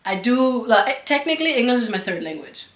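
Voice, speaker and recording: A woman's voice: fairly high pitch, big pitch swings, a little fast. A young woman whose first language is German, co-hosting a casual couple's chat in a chatty, rambling way with frequent giggles. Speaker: co-host. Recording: echoey room.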